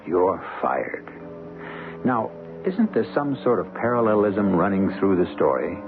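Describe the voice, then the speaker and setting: A man narrating as host of an old radio drama, in a measured, reflective scripted style. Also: low voice, sing-song. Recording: muffled old radio recording. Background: music.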